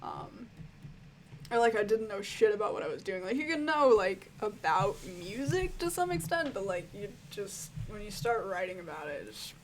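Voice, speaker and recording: A woman with a croaky voice, a music reviewer answering viewer questions in a rambling, casual way full of fillers. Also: mid-range voice, big pitch swings, normal speed, a little hiss or hum. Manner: rambling, filler-heavy, frank and swearing. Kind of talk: casual Q&A chat.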